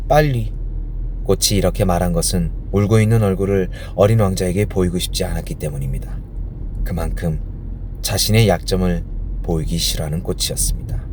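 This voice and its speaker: A man's voice: deep voice, steady tone, fairly quick, a little mellow, a little hiss or hum. A man reading a storybook as an audiobook narrator, in a bedtime narration style meant for sleep.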